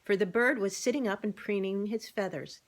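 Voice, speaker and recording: A woman's voice: medium pitch, normal speed. A woman casually reading a children's book aloud as narrator, with informal asides. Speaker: amateur storybook reader.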